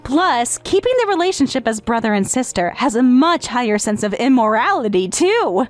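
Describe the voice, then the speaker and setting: High-voiced coy young step-sister played by a woman. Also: very animated, normal speed. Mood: devoted. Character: devoted, teasing, clingy young step-sister. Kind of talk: English manga dub romantic comedy acting. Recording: music behind.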